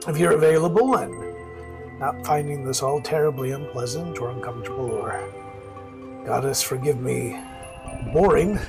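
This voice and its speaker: Mild-mannered technician, slightly high male voice, shy, hesitant, earnest, urban fantasy audio drama, music playing behind. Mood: nervous, hopeful. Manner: hesitant, self-deprecating.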